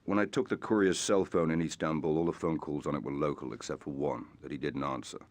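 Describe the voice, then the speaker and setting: An actor playing a cool, dry-witted British secret agent in a video game cutscene, with clipped, understated delivery. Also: male voice, extremely low pitch, steady tone, fast, no echo. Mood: resolute, businesslike.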